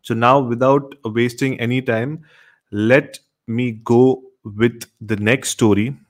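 A man's voice: medium pitch, fairly even intonation, slow pace. A man hosting a live horror storytelling show, speaking straight to his listeners and often repeating himself. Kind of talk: live stream presenting to viewers.

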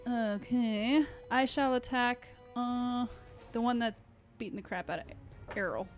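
A woman playing a tabletop role-playing game, lively and quick to laugh. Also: fairly high pitch, faint music behind. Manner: lively and giggly. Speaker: player. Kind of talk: casual tabletop game play.

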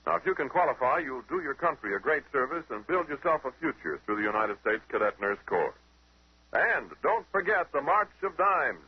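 Animated husky male radio announcer. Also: mid-range voice, moderate pace. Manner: earnest, formal. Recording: muffled dry old-time radio broadcast.

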